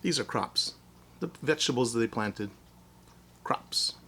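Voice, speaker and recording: A man's voice: medium pitch, lively intonation, unhurried, sharp tone, a little hiss or hum. A man reading a children's story as a teacher, expressively, explaining things in simple words.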